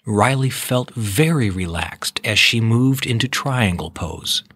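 A man reading scripted children's storybook narration in a measured, expressive way. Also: on the low side, slightly rough, no echo, clean studio sound.

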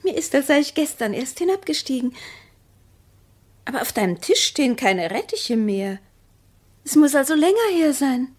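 An actress in a German radio play voicing a distrustful, needling wife, speaking in a measured, pointed way with hushed phrases. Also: female voice, high-pitched, very animated.

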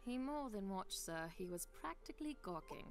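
A woman's voice: mid-range voice, animated, moderate pace, natural voice. Woman acting a teasing young aide, music behind. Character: young female subordinate, teasing yet respectful sister. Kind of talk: video game voice acting.

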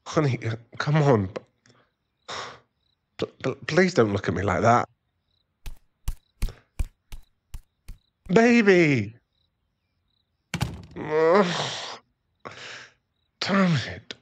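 A man's voice: a little high, animated, drawn-out words, pauses often, a little hiss or hum, exaggerated voice. A man playing an irritable, defensive, hard-drinking werewolf boyfriend and expectant father in an ASMR roleplay audio drama, given to sighs and snappish remarks. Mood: shaken, remorseful.

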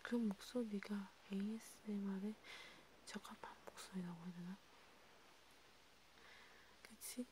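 A woman speaking in a hushed, rambling ASMR style, half whispering, as if chatting one-to-one with a listener. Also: mid-range voice, fairly even intonation, a little slow, long pauses, noisy background. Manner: hushed, rambling, intimate one-sided conversation. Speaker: ASMR creator. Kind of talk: soft ASMR roleplay chat, partly whispered.

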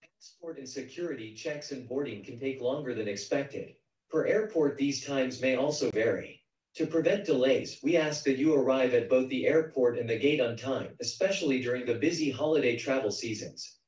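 A synthetic male text-to-speech voice reading out kiosk answers in an even, read-out way. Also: medium pitch, moderate pace, roomy sound, recorded from a distance, low-quality recording. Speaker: text-to-speech demo output.

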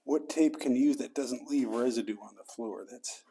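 A man with a mellow, warm voice explains a flooring job as he works, at an ordinary pitch. He talks himself through each step and sometimes trails off into quiet mutters. A faint hiss of noise sits under his voice in a basement. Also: normal speed. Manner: talks to himself mid-task, drops to mutters. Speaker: flooring installer demonstrating. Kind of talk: casual how-to instruction while working. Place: basement, indoors.

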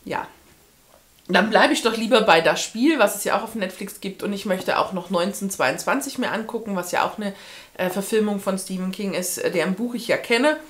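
Chatty woman book vlogger, mid-pitched voice. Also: brisk. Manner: chatty, thinking aloud, trailing off into asides. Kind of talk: casual book review to camera. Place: small furnished room with bookshelves, indoors.

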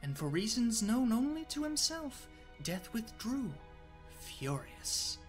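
A woman's voice: a little high, sing-song, slow pace, faint music behind. A woman playing a dramatic, wry storyteller, reading scripted narration for a radio play. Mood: ominous.

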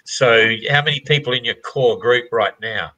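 Older male host, forceful bright tone, casual video-call chat. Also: medium pitch, moderate pace, highs cut off. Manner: chatty, repeats words, laughs easily. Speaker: race organizer interviewing an entrant. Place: home room indoors.

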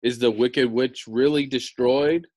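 A man's voice: medium pitch, fairly even intonation, a little slow, darkish tone, no room sound, natural voice. An American man voicing a little old humbug wizard, timid and evasive, in a storybook read-aloud. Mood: imperious, startled.

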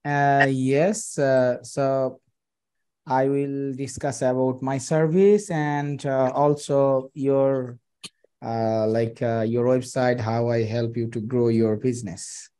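Bangladeshi man pitching over a headset. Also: steady tone, mellow, clean tone. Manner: halting, many fillers and restarts. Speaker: freelance digital marketer pitching to a client. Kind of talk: video call sales pitch. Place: small room indoors. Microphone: headset on a video call.

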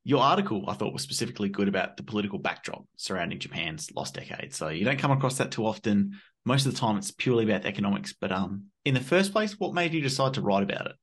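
An Australian-accented man in his twenties hosts a podcast. His voice sits a little low, and he asks his questions in a friendly, easygoing way with casual slang. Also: sing-song, fast, few pauses. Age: 20s. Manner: friendly, laid-back, slangy. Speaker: podcast host. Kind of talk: casual interview.

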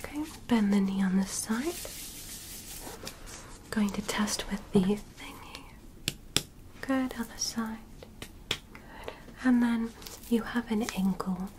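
Casting: woman as gentle female alien medic, breathy whisper, slow soothing exam delivery, ASMR sci-fi roleplay, audible background noise. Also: mid-range voice, natural voice. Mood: curious, gentle. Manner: soft, slow, soothing reassurance with clinical instructions. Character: gentle, curious female alien doctor examining an earthling. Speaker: ASMR performer.

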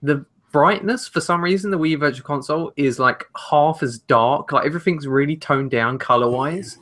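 Bright-voiced young British man. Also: a little high, moderate pace. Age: 20s. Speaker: podcast co-host. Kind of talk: casual gaming chat. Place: home room, indoors. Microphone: headset on, video call.

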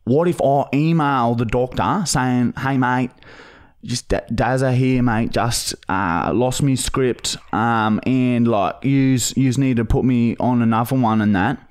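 A man playing a dodgy, pushy Australian bogan on a prank phone call, talking in halting, repetitive slang. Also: medium pitch, clean tone, lightly acted. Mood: pleading, scheming. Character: dodgy, pushy young male bogan pestering for painkillers. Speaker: prank caller, podcast host. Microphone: close studio mic on boom arm.

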